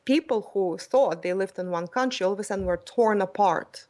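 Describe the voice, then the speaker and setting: Middle-aged woman guest, native Russian speaker raised in Ukraine, mid-range voice, halting and lecturing. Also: medium pitch, a little mellow. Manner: hesitant, many ums and restarts, lecturing. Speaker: interview guest. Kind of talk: radio interview, explaining history.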